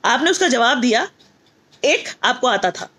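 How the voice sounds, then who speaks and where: A woman's voice: fairly high pitch, expressive, unhurried, bright, forceful tone. A middle-aged woman with a North Indian accent giving a motivational lesson in mixed Hindi and English, emphatic and repetitive, often asking rhetorical questions. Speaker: teacher and motivational speaker.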